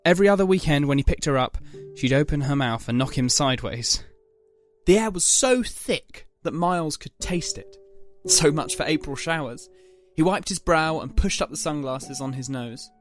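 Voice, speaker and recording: A man's voice: fairly high pitch, animated, moderate pace, faint music behind. A man reading scripted, dramatic story narration as the narrator.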